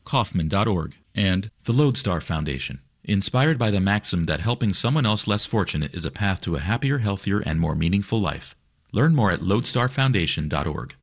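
A man reading a scripted sponsor message in a measured, even way. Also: sits low, no room sound, studio quality. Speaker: announcer. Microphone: studio mic.

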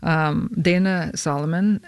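Soft-spoken woman with many fillers. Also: low voice, fairly even intonation, unhurried, clear, resonant tone, no room sound. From northern Saskatchewan, Canada. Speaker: filmmaker guest. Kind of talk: radio interview conversation. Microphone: studio microphone.

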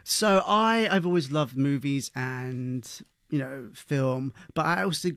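A man's voice: mid-range voice, slow, fairly bright tone. A British man from London speaks as an interview guest. He tells personal stories in a conversational way, in a mid-range voice. The recording is dry, with no room echo. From London, England.

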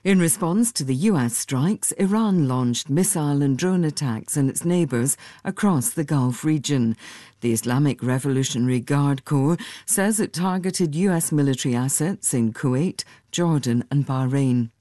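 A woman reading a radio news bulletin in a measured, formal way. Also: low-pitched, dark tone, no echo, clean studio sound. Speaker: newsreader. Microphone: studio microphone.

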